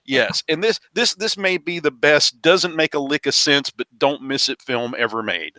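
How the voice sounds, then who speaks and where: A man's voice: a little high, animated, normal speed, pushed and bright, no room sound. A man talking casually and emphatically as a panelist in a film review roundtable, often repeating phrases as he builds his point. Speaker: podcast panelist reviewing films.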